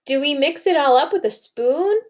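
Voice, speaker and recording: Eager young storybook pupil, done by a woman: high, bouncy pitch, excited and questioning. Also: normal speed.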